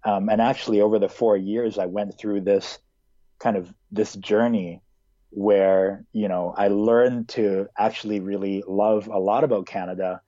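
A man's voice: lowish voice, fairly even intonation, measured pace, warm tone, no room sound, dull audio. A man in his twenties who grew up in Hong Kong, answering interview questions in a casual, hesitant way with many fillers. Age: 20s.

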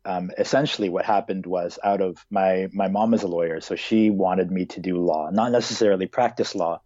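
A man's voice: sits low, steady tone, brisk, warm tone, dry recording, lo-fi sound. A man in his twenties who grew up in Hong Kong, answering interview questions in a casual, hesitant way with many fillers. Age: 20s.

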